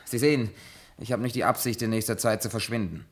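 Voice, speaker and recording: An actor playing an evasive, boastful male survivor in a German radio drama, animated and blustering in delivery. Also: lowish voice, quick pace, natural voice. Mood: defensive.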